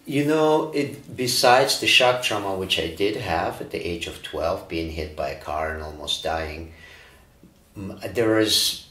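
A man in his forties from Ukraine, a breathwork teacher, answering interview questions in a calm, reflective way with frequent fillers. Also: low voice, unhurried. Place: bright studio room, indoors. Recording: roomy studio echo.

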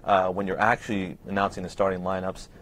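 A man's voice: sits low, little pitch movement, fast talker, a bit bright. A young man with an American accent, asking questions as the interviewer in a casual sit-down interview. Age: young adult. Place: open indoor lobby studio with people behind. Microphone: lapel mic. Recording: clear background ambience noise.

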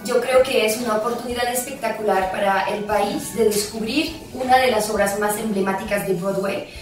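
Woman with a French accent, measured and thoughtful, heavy room echo, mic far off. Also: medium pitch, steady tone, faint music behind. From France. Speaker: musical theatre actress. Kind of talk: casual interview chat. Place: office room with glass wall, seated on chairs. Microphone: far camera mic.

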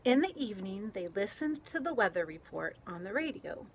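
A woman reading a children's picture book aloud outdoors in a gentle, deliberate way, pausing between phrases. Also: medium pitch, big pitch swings. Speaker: story reader. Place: outdoors, garden. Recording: clear outdoor background noise.

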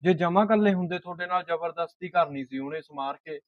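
A man's voice: fairly high pitch, brisk, dry recording, narrow, tinny audio, lightly acted. A man playing a loyal, indignant house servant in a short film, advising earnestly with a Punjabi accent. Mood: concerned. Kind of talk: naturalistic short film dialogue.

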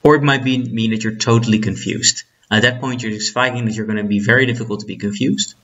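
A man in his twenties from the Netherlands with a husky voice, giving an instructional talk as a debate trainer, stressing his key points. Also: fairly low pitch, fairly even intonation, fast, fairly bright tone. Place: small plain room indoors. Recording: dull lo-fi webcam sound.

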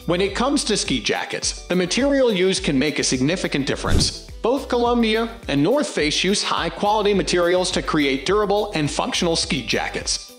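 A man reading a scripted product-comparison narration in a formal, even manner. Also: high-pitched. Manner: formal, evenly read script. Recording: roomy echo. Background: faint background music.

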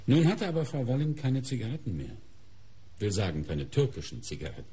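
An actor playing a shrewd, courteous police inspector in a German radio crime drama, speaking in a deliberate, formal, dramatic way. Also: male voice, medium pitch, wildly swooping pitch, dark tone, husky, heavily acted. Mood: confident, deliberate.

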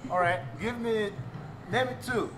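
A man's voice: high voice, very noisy. A man in his thirties doing a street vox pop, quizzing passers-by in a playful, teasing way, recorded outdoors on a busy shopping street with a handheld mic. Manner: teasing, playful, repeats the question quickly. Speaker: street interviewer. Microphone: handheld mic held out to passers-by.

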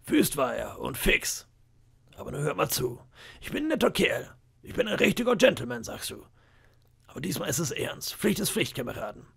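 A man's voice: on the high side, very animated, normal speed, gravelly, fairly bright tone, breathy edge, voice pushed up, heavily acted. A man voicing a cunning old pirate cook in an audiobook, wheedling and boastful with flashes of menace. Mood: scheming. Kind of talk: audiobook character voice.

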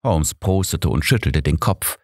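A man with a deep voice narrates crime fiction from a script. The recording is dry, with very little room sound, as in an audiobook. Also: expressive, measured pace, dry recording.

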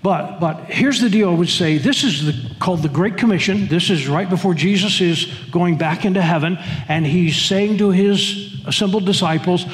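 A man's voice: sits high, normal speed, a little hiss or hum. Older male pastor preaching in a reverberant church. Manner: earnest, repeats words and phrases, leads congregation reading. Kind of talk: sermon. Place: church sanctuary. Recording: long hall reverb in a church.

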